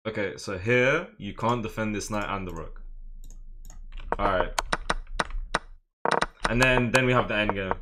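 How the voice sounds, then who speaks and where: A man streaming live chess, talking through his moves in a casual, slangy, excitable way and chatting with viewers. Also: on the low side, heavy background noise. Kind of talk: casual live game commentary.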